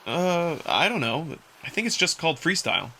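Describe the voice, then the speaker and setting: Man playing a wry young guy, bright and animated. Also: a little high, normal speed, a little hiss or hum, pitched above the performer's own voice, exaggerated voice. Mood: bemused. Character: wry, easygoing young human male, out of his element. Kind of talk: visual novel character acting.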